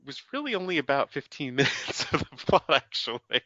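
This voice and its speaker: A man's voice: medium pitch, sing-song, brisk, bright, forceful tone, no echo, muffled recording. A man co-hosting a podcast, summarizing a film plot in casual chat, with many fillers and restarts. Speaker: podcast co-host.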